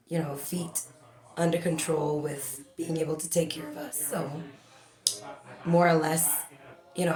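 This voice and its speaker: A woman's voice: low-pitched, roomy sound. A woman in her forties from the Bahamas, a guest in an interview, chatty and talking at length with lots of fillers. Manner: chatty, talks a lot with many fillers. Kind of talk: casual interview.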